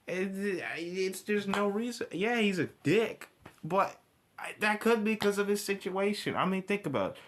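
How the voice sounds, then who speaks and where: A man's voice: sits high, animated, slight background noise. A man giving informal, ranting review commentary, blunt and profane, often backtracking and restarting his sentences. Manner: halting, with repeated restarts and backtracking. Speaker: cartoon episode reviewer.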